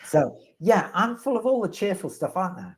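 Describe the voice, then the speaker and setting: A man's voice: sits high, sing-song, normal speed. A man answering questions as the resident expert in a live webinar, rambling and wry, with self-deprecating humor.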